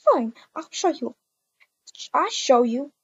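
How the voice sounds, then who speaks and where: Woman acting as betrayed young mother, high voice, indignant, emphatic, repetitive phrasing, game roleplay, dull lo-fi mic. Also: lively intonation, slow pace, full character voice. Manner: indignant, emphatic, repeats herself. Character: hurt, furious young mother kicking out cheating partner.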